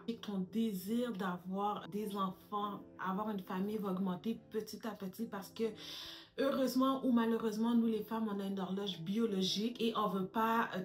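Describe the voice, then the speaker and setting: A woman, giving advice straight to camera as a lifestyle YouTuber, calling her viewers "girls" and mixing in English words. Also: mid-range voice. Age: 30s. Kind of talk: direct-to-camera advice vlog.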